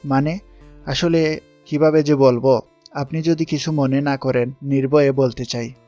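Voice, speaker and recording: Mid-pitched young charmer, played by a man, a self-assured schoolboy in an audio drama, faint music behind. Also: fairly even intonation, unhurried, mellow, dry recording, dull audio, close to an everyday voice. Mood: polite, persuasive.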